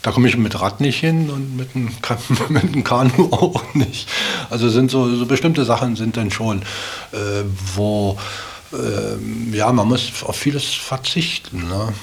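A man's voice: mid-range voice, a little slow, gravelly, husky. A man reflecting casually and personally in an interview, searching for words and often adding little tag questions. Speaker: interviewee in a radio feature. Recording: hissy recording with steady background noise.

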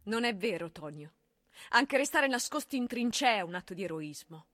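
A woman's voice: mid-range voice, expressive, moderate pace, fairly bright tone, no room sound, studio quality, natural voice. An actress playing a proud, grieving mother of a dead soldier in a theatrical drama. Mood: firm.

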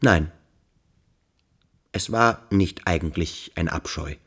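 An actor playing an earnest young boarding-school pupil in a radio play, speaking in a searching, thoughtful, deliberate way. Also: male voice, sits low, fairly bright tone, breathy edge, full character voice.

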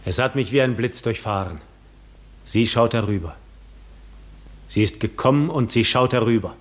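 A man's voice: mid-range voice, normal speed, lightly acted. An actor playing a pensive seafaring man who tells his own story in a 1950s radio drama. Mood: reflective.

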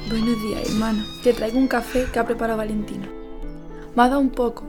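Woman acting consoling sister, raised pitch, working-class, protective, radio drama, soft music behind. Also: steady tone, fast, natural voice. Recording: faint music behind dialogue.

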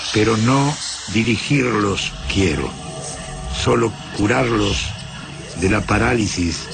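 Slow, gravelly alien captor. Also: male voice, on the low side. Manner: slow, inverted foreign-sounding syntax, deliberate. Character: calm, hypnotic alien captor with a strange voice. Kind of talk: radio drama acting.

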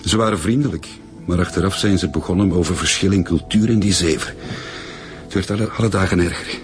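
A man's voice: sits low, a little fast, faint music behind, lightly acted. A young man playing a bereaved, defensive boyfriend in a naturalistic radio drama. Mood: earnest, defensive. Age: young adult.

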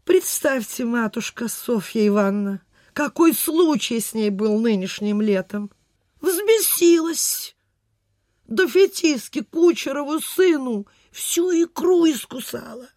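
A superstitious provincial landlady in old radio-theatre style. She talks slowly in folksy, old-fashioned phrases, full of sighs and fretting. The sound is dry. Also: sits high, animated, a little mellow, no room sound. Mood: chatty, grateful. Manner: folksy, sighing, gossipy, old-fashioned speech. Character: fretful superstitious provincial landlady, chatty amateur healer. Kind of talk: Soviet radio theatre acting.

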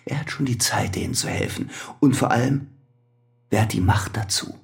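A man's voice: mid-range voice, normal speed, creaky, natural voice. An actor playing a weary, defensive male prison inmate in a radio drama, speaking naturalistically. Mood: bitter, agitated.

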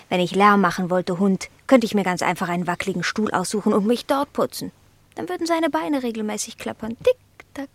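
A woman playing a haughty, sharp-tongued female cat in a 1960s German radio play, speaking with grand, theatrical disdain. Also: on the high side, no room sound. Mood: scornful, sly.

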